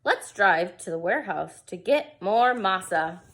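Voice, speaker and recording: A woman voicing a kindly, helpful uncle who delivers food, in an expressive children's storybook read-aloud. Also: mid-range voice, unhurried. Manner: animated, expressive.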